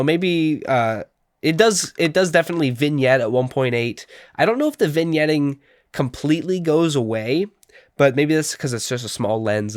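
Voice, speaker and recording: A young man reviews gear in a loose, rambling way, stumbling over restarts and filling gaps with ums. His voice sounds stuffy and congested from a cold and sits at a middling pitch. The sound is dry and close with no room echo. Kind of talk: casual spoken review.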